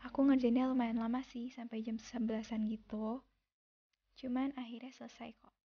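A young woman from Indonesia narrating her daily vlog as a calm, unhurried voice-over, recorded separately in a quiet room. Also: fairly high pitch, slightly rough, highs cut off. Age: young adult. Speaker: vlogger. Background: soft background music.

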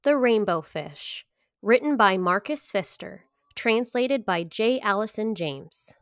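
A woman in her thirties reading to children as a story time host, with expressive, clearly phrased delivery. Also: mid-range voice, slow pace, dry recording, studio quality. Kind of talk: children's picture book read-aloud.

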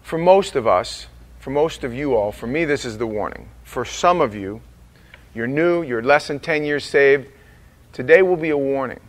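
A man preaching a sermon as pastor, dropping quiet and slow in prayer and rising loud and emphatic on his warnings. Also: mid-range voice, animated.

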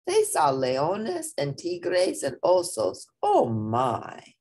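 A woman reading a children's picture book aloud in a theatrical, deliberate way with playful emphasis. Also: low-pitched, huge pitch swings, dark tone, slightly rough. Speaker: storybook reader.

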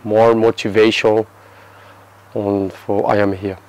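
A man in his thirties from Brazil, answering interview questions in halting English with a Brazilian Portuguese accent and many restarts. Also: lowish voice, little pitch movement, a little mellow, slight background noise, rough recording. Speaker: football player. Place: outdoor terrace by a yellow building.

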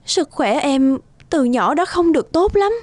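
A woman playing a gentle, anxious young expectant mother in a dubbed cartoon drama. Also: high voice, animated, measured pace, no room sound, clean studio sound. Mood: worried.

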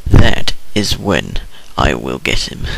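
A man's voice: rumbling low, big pitch swings, slow pace, gravelly, full character voice, poor audio. A man voicing a hapless, polite little schemer of a creature in an amateur game comedy, mild and wordy. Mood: scheming. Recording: loud music over the voice.

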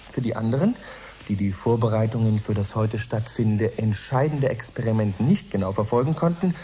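Radio play casting: man acting formal science broadcaster, courteous, measured, dark warm tone, lightly noisy old recording. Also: mid-range voice, natural voice. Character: courteous male radio science reporter hosting live broadcast. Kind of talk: radio play styled as live news broadcast.

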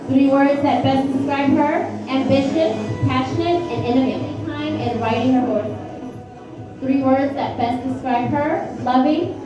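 A woman announcing pageant contestants, rattling off their hobbies in quick lists in a large hotel ballroom. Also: sits high. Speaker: pageant emcee. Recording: echoey ballroom, dull sound, music.

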